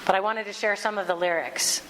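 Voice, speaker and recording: A woman with a middle-pitched voice delivers an earnest, heartfelt spiritual talk to a gathered congregation. Her words carry long reverb through a large hall. A faint hum of room noise sits underneath. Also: steady tone, fairly quick, fairly bright tone. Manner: earnest, heartfelt, reads quotes aloud. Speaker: minister giving a talk.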